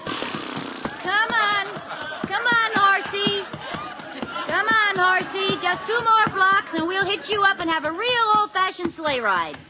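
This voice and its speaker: A woman with an American accent playing an eager, scheming, playful housewife in a 1940s radio comedy, excitable and wheedling. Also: very high voice, slow, dull audio, a loud crowd, heavily acted. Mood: cheerful. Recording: noisy vintage radio recording, live studio audience.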